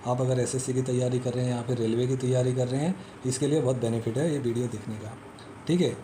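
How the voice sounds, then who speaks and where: A man's voice: medium pitch, steady tone, fast talker, mellow, slight background noise. A man teaching exam memory tricks in a casual, repetitive explanatory style, often checking in with the listener. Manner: repetitive, peppers talk with "theek hai" and "hai na"; storytelling, explains step by step and repeats.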